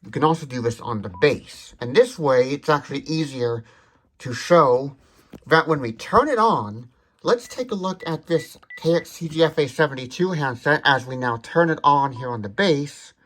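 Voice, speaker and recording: A man demonstrates a product step by step with a husky, slightly rough voice. His intonation swings widely, and he explains each point methodically and precisely. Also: on the high side, animated, moderate pace, fairly bright tone. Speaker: tech demonstrator.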